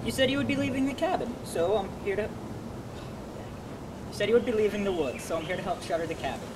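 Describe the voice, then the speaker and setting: A woman playing an easygoing, loyal young male helper in a homeschool dramatic reading, earnest and gently teasing. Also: mid-range voice, lively intonation, quick pace, close to an everyday voice. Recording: loud background noise.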